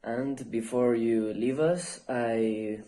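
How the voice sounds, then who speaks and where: A man presenting a narrated software demonstration in a measured, deliberate manner. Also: mid-range voice.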